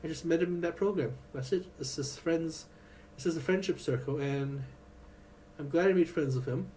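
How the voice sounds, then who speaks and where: A man vlogging in a rambling, halting way, with many restarts and fillers. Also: a little high, mellow, a little hiss or hum, low-quality recording. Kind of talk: rambling personal vlog.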